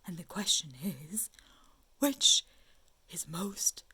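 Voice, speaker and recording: A woman voicing a small, earnest male bear in a storybook reading, talking in a slow, hushed whisper and pondering each word. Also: lowish voice, lively intonation, a little hiss or hum, full character voice. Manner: ponders aloud, drawn-out words. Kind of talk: storybook read-aloud.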